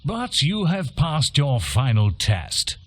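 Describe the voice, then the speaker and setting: An actor playing a stern guild instructor in a fantasy game, commanding and condescending, with clipped phrasing. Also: male voice, mid-range voice, huge pitch swings, slow, full character voice. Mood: stern, testing.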